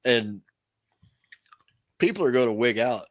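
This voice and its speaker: A man with a medium-pitched voice talks casually as a co-host on a tech panel show. The recording is dry, with no room sound around him. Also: animated, unhurried, no room sound.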